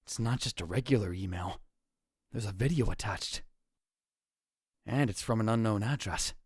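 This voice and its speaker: A man's voice: on the low side, normal speed, husky, no echo. A man playing a dramatic, paranoid young self-styled mad scientist in a visual novel fan dub, theatrical, brooding and self-important. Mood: brooding, suspicious. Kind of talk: visual novel fan dub, first-person narration and dialogue.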